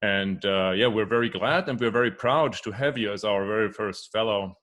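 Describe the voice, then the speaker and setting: Low-voiced hesitant male podcast host. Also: normal speed, a bit bright. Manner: hesitant, repeats words, many fillers. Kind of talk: interview hosting.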